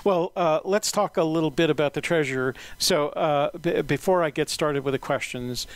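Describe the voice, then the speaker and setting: A man hosting an interview in a relaxed, unhurried way, often pausing with "uh". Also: on the high side. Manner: relaxed, many "uh" fillers, measured pace. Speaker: interviewer and podcast host. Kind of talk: casual interview.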